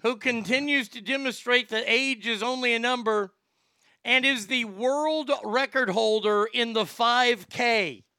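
A man's voice: very high-pitched, measured pace, pushed and bright, smooth, dry recording. A man in his fifties hosting a casual talk show and reading out stories. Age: 50s. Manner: easygoing, reads stories aloud. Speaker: host. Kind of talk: casual chat.

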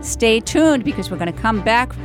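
A woman's voice: fairly high pitch, expressive, moderate pace, over music. A woman hosting a chatty local radio show, talking informally in an effusive, exclaiming, encouraging way. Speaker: radio talk show host. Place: radio studio.

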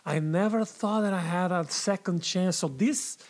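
A man's voice: sits high, normal speed. Brazilian man chatting earnestly in English. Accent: Brazilian Portuguese. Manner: earnest, repeats himself, swears casually. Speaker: podcast guest, comedian. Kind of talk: casual podcast chat.

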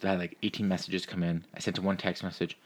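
A man's voice: deep voice, fast. A man co-hosting a sports podcast, chatting casually and telling stories in a profane way, acting out the dialogue as he goes. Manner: profane, animated storyteller who acts out dialogue. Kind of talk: casual chat, storytelling.

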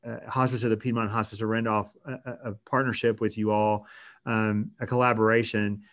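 A man hosting a conversational interview, speaking in measured sentences with some restarts and filler "um"s. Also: on the low side, little pitch movement. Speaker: host from a hospice organization.

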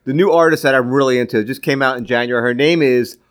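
A man co-hosting a casual podcast chat, talking in quick restarts and laughing often. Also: medium pitch, clean tone. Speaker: podcast co-host.

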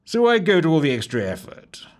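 A man voicing a cheerful, curious gentleman writer with a polished, genteel manner. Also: fairly high pitch, huge pitch swings, a little fast, pitched above the performer's own voice. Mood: eager.

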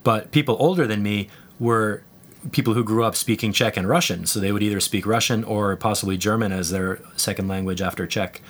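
Male guest, low voice, loose and chatty, lots of "like" and "you know". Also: fairly quick. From United States. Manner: relaxed, rambling with fillers. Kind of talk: conversational interview.

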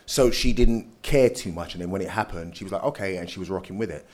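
A man's voice: deep voice, fast. A man speaking emphatically and conversationally as a panelist, often checking that listeners follow. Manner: emphatic, rhetorical check-ins. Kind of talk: panel discussion, conversational answer. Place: live panel event.

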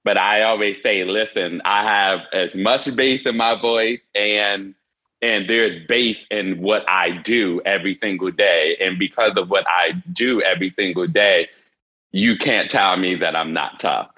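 Earnest man, bright forceful voice. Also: low-pitched, fairly even intonation, low-quality recording. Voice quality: wears hearing aids. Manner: earnest, halting with frequent restarts. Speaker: interview guest. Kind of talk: candid personal storytelling.

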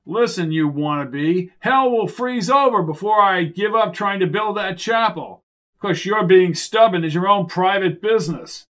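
A man voicing a stubborn, combative priest with a forceful, declamatory pulpit delivery in an audiobook story. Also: sits high, lively intonation, moderate pace, pitched above the performer's own voice. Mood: angry.

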